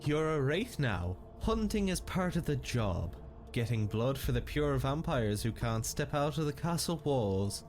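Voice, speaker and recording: A male actor playing a sinister, fawning castle physician in a dark fantasy audio drama, unctuous and deliberate. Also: medium pitch, very animated. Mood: quietly menacing. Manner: unctuous, deliberate, chuckling menace.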